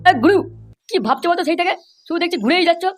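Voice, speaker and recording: Woman voicing a squeaky, excitable young sidekick lad. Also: rapid-fire, loud music behind the voice. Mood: curious, indignant. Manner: slangy, swearing, eager. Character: excitable, foul-mouthed young male sidekick, loyal informer. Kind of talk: crude comedy cartoon voice acting.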